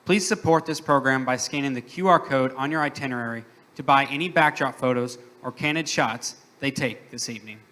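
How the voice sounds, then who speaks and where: A man co-hosts an awards evening, delivering announcements in a clear, upbeat tone. His voice is bright and forward at a middle pitch. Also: brisk, few pauses. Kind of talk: event announcements.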